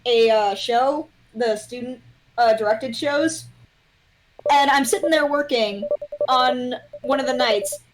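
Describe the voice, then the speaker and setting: A young woman with a high-pitched voice joins a livestream as a guest. She tells anecdotes in a casual, conversational way, with faint noise and clicking on her mic. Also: takes it slowly, poor audio.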